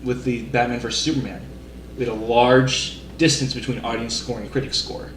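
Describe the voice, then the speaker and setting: A man with a mid-pitched voice rants casually on a podcast about movies. He is exasperated and emphatic and swears freely. The room echoes around him. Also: fairly even intonation, a little fast, a bit bright. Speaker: podcast co-host. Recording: roomy echo, slight background noise.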